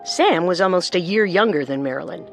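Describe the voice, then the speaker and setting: A woman's voice: fairly low pitch, lively intonation, a little fast, vocal fry, faint music behind. A woman hosting a podcast with scripted true-crime narration. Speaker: podcast co-host.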